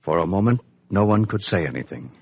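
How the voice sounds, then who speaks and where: A man narrating a 1950s radio serial from a script in a measured, suspenseful manner with a husky voice. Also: sits low, lively intonation, a little mellow, no echo. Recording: dull, dry 1950s radio recording.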